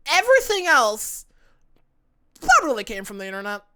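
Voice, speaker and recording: Lively young Southern-accented woman streamer. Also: fairly high pitch, moderate pace, a little hiss or hum. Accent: Southern American mixed with internet influences. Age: young adult. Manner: playful, giggly, self-mocking. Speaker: livestreamer. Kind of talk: casual stream chat.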